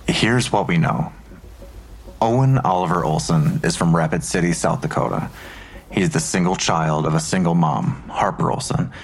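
A man's voice: deep voice, husky. An actor playing a matter-of-fact male investigator methodically laying out a case in an audio drama.

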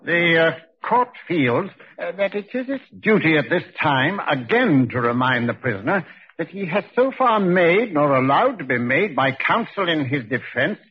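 An actor playing a formal, ponderous judge who speaks haltingly and pompously in a 1940s radio drama. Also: male voice, on the high side, big pitch swings, slightly rough. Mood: solemn, stern. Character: formal, ponderous male judge presiding over a trial. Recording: muffled vintage radio recording.

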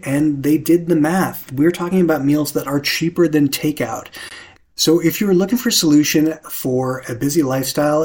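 Higher-pitched man hosting a podcast. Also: a little mellow. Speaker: podcast creator and host. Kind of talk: casual interview chat and read sponsor message.

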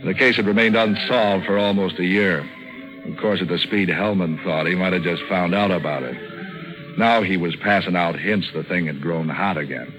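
A low, sardonic American private eye in old-time radio noir. He tosses off cynical wisecracks in a deadpan way. Music plays behind him on the vintage broadcast recording. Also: moderate pace, rough recording. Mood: dry, brooding. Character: wisecracking, cynical hard-boiled male hired detective narrating his case. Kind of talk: 1940s radio noir acting, first-person narration.

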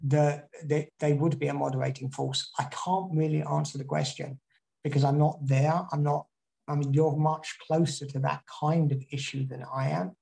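Gravelly-voiced British man giving a talk. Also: a little high, little pitch movement, normal speed, dark tone. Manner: rambling, many restarts. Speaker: guest speaker. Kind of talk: online talk and Q&A.

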